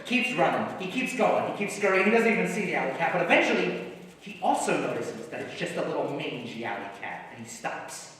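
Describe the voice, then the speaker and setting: A man acting an excitable, brash and optimistic screenwriter in a comic stage play, with an animated, emphatic delivery. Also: fairly high pitch, fairly quick. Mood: excited storytelling. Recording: echoey hall, distant mic, faint noise.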